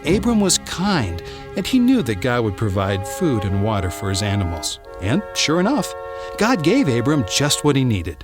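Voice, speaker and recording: A man narrating a scripted children's Bible story in an expressive way, drawing out words for emphasis. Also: mid-range voice, normal speed, music in the background.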